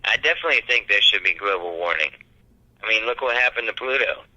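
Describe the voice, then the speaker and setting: A man giving a comedic press conference speech as a mock candidate, with deadpan delivery. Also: on the low side, fast, low-quality recording.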